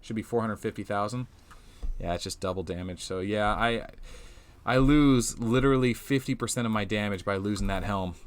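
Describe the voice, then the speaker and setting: A young man, slightly hoarse from losing his voice, giving casual commentary over a mobile game replay and thinking aloud as he goes. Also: lowish voice, moderate pace. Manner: rambling, restarts often, thinks aloud. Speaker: game channel host.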